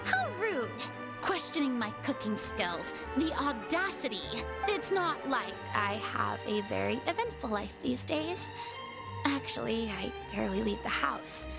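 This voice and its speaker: An actress playing a proud, theatrical young former goddess in a video game, haughty yet self-deprecating. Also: female voice, sits high, gravelly, full character voice. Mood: indignant, huffy. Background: game music.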